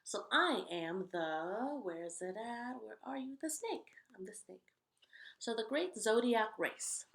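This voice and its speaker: A woman's voice: fairly high pitch, lively intonation, a little slow, creaky, a little hiss or hum. Woman reading a children's picture book aloud. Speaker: children's story read-aloud host. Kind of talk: picture book read-aloud with casual asides.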